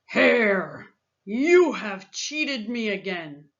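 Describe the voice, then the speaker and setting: A woman's voice: medium pitch, very animated, extremely slow, a bit bright, dull audio, heavily acted. Woman acting a grumpy, growling storybook bear. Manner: scowling, growling, emphatic. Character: grumpy, lazy male bear, a duped storybook neighbor.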